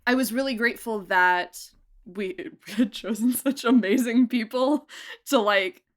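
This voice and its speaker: A woman's voice: a little high, a little slow. A young woman who produces and directs, chatting in a podcast interview, laughing often and talking with lots of fillers and restarts.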